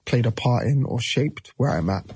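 A man's voice: medium pitch, animated, moderate pace, darkish tone, slightly rough, no room sound. A man in his thirties, a musician guest, talking casually in an interview, loose and rambling with many restarts.

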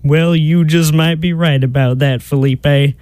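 A man's voice: on the high side, steady tone, measured pace, darkish tone, no room sound, close to an everyday voice. An actor playing a lovesick, folksy Southern man in a hammy comic radio play. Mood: plaintive, folksy.

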